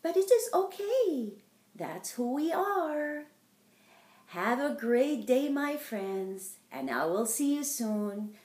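A middle-aged woman reading a picture book aloud to young children in a theatrical, deliberate way, as a storytime host. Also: sits high, big pitch swings, a little mellow. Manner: theatrical, slow and deliberate, addressing small children. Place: indoor wood-panelled living room. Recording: roomy, echoing home recording.

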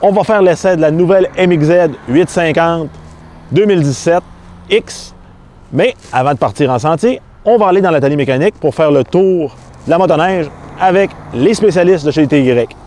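A man's voice: on the high side, normal speed, a little mellow. A middle-aged man with a Québécois French accent hosting a snowmobile review, chatty and enthusiastic, with small restarts. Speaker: snowmobile test host. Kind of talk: casual review and interview.

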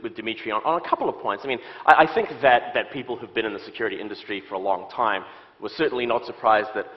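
A man in his thirties with a New Zealand accent, a security expert answering interview questions on a stage, often restarting his sentences. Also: fairly low pitch. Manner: halting, many restarts and fillers. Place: stage set with audience, indoors. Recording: muffled stage audio.

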